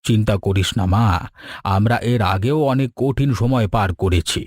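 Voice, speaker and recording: A man voicing a kindly, patient old grandfather who runs a food shop, gentle and earnest, in a cartoon story. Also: on the low side, moderate pace, husky, no room sound. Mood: reassuring. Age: older.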